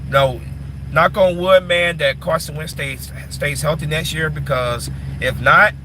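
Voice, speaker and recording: A man's voice: fairly high pitch, a little slow, noisy background. A man in his twenties answering chat questions in casual talk, often repeating and restarting his words. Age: 20s. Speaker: live stream host answering chat.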